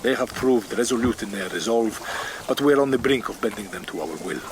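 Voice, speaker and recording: An actor playing a courtly Spanish ambassador with a Spanish accent, insinuating and deliberate, in a period radio drama. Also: male voice, medium pitch, lively intonation, lightly acted. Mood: pressing. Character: smooth, persuasive Spanish duke and ambassador. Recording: noisy water ambience behind.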